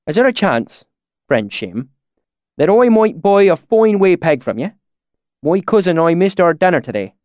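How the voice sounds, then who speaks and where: A man's voice: high-pitched, sing-song, normal speed, darkish tone, dry recording, clean studio sound, pitched above the performer's own voice. A man voicing a clever young man who puts on a folksy country dialect to win over a stranger. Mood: sly folksy. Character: young man slyly faking a rustic dialect.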